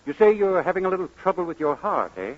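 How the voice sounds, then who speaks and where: An actor playing a genial, courteous older chief of staff with professional authority in a radio comedy. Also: male voice, high voice, big pitch swings, fast talker. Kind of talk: 1940s radio romantic comedy acting. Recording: dull lo-fi old radio recording.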